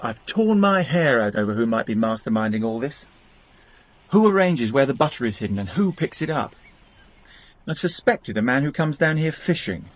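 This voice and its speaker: An actor playing a harassed, candid customs officer in a British radio drama, brisk and earnest. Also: male voice, medium pitch, sing-song, lightly acted. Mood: exasperated, explanatory.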